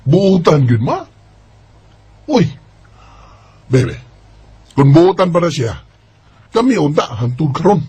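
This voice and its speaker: A man's voice: on the high side, sing-song, slow pace, pauses often, warm tone, breathy edge, full character voice. A man with a Cebuano Filipino accent playing a cocky, evasive young bachelor in an amateur radio drama. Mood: irritated, dismissive.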